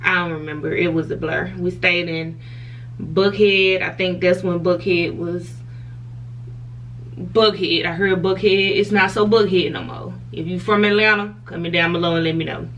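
A woman's voice: lowish voice, steady tone, normal speed, a bit bright, recorded from a distance, highs cut off, a little hiss or hum. A young woman, talking frankly to camera in a hotel room, laughing often. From Southern US. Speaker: vlogger. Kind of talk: casual chat to camera.

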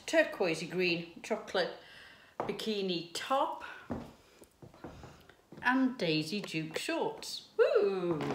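A woman in her fifties chatting excitedly to camera while cooking in her home kitchen. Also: medium pitch. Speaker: lifestyle vlogger. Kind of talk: casual chat to camera. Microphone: camera's built-in mic from a few steps away. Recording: echoey room, distant mic, background noise.

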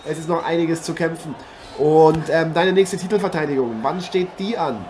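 A man playing an eager, chatty boxing commentator and interviewer in an improvised radio play, polite and halting. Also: a little high, a little mellow, natural voice. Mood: excited, welcoming. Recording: noisy, with arena ambience behind.

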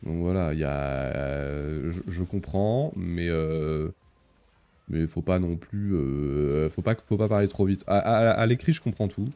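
Casual streamer type, man in his thirties, low and mellow, hesitant with fillers, chatting to viewers from a small room. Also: measured pace, clear, resonant tone. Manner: chatty, hesitant, many fillers, reads chat aloud. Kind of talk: casual gaming stream chat. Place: small room with purple lighting.

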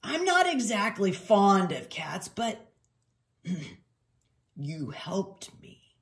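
Woman voicing a groggy, drawn-out grumbling boxer dog. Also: mid-range voice, huge pitch swings, pitched above the performer's own voice, exaggerated voice. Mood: grudging gratitude. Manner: groaning, grumbling.

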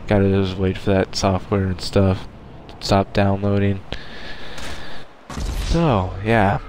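A young man with a nasal voice, sounding sick and tired, talking quietly in a casual gaming voice-over. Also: sits low, level tone, slow pace, slight background noise. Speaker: gaming YouTuber. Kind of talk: casual gameplay voice-over commentary.

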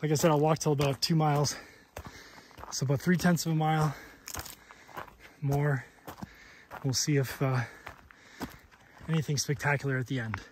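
A man's voice: on the high side, fairly even intonation, normal speed, long pauses, a little hiss or hum. Chatty man vlogging on a trail. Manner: chatty, laughs often, hushed when quiet. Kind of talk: casual hiking vlog. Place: outdoors on a mountain trail. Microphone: handheld camera.

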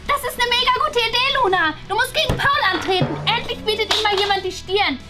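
A woman voicing a bossy, excitable schoolgirl who speaks in a pushy, dramatic way in a children's school story. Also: extremely high pitch, fast talker, over loud music. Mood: eager, encouraging. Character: bossy, excitable, fashion-proud schoolgirl best friend.

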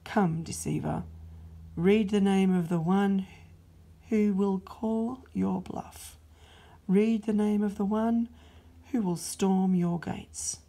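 Woman doing a stern heavenly king, slow and loud, gravelly and dark. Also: mid-range voice, exaggerated voice. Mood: commanding. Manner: commanding, slow and emphatic. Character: booming divine father-king, stern and loving.

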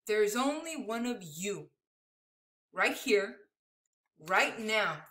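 A woman's voice: medium pitch, animated, very slow, a bit bright. A woman in her thirties reading a picture book aloud expressively to young children like a schoolteacher, with chatty asides spoken directly to the listeners. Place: living room at home, indoors. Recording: echoey home room.

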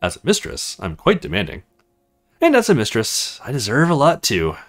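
A man's voice: mid-range voice, huge pitch swings, moderate pace, soft background music. A man voicing an imperious, self-satisfied woman in command in a visual novel, haughty and approving. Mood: imperious approval.

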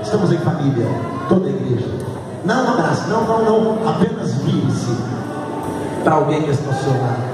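A man's voice: fairly high pitch, sing-song, fairly quick, very noisy. A man with a Brazilian accent preaching fervently as a Pentecostal pastor, exhorting the congregation with short, repeated, emphatic commands. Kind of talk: fervent revival sermon. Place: large crowded church hall. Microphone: PA microphone heard through a distant camera. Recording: echoey hall from far off, loud music, crowd. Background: loud music and congregation.

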